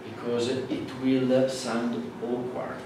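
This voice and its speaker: A man with an Italian accent, a native Italian speaker, giving a classroom lecture in a halting way with many fillers and restarts. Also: mid-range voice, completely flat delivery. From Italy. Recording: echoey hall from far off with noise.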